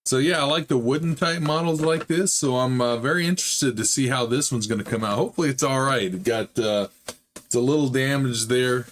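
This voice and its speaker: A man with a middling voice, a little hoarse, who talks viewers through a hobby build. He rambles easily and drops in plenty of "uh"s. The delivery is relaxed and unscripted. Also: mid-range voice, fairly quick, slight background noise. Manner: easygoing, rambling, frequent "uh" fillers. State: slightly hoarse, recovering from a chest illness. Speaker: hobby model-building channel host. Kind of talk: casual build vlog narration.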